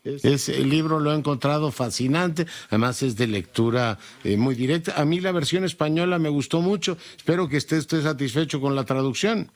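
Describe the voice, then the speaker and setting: A man's voice: on the high side, moderate pace. A man hosting a radio interview, speaking formally and courteously in elaborate phrases. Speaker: radio host.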